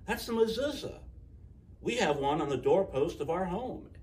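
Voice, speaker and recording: A man's voice: sits high, lively intonation, moderate pace, a little mellow, a little hiss or hum, pitched above the performer's own voice. A man voicing an eager, curious young girl in a storybook, asking things in an expectant way. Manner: expectant, inquisitive.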